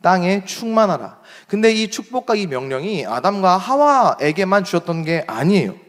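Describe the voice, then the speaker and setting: A young adult man preaching a sermon as pastor, emphatic, rising to strong points and dropping to soft asides. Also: high voice, big pitch swings, normal speed. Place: church sanctuary. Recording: long hall reverb.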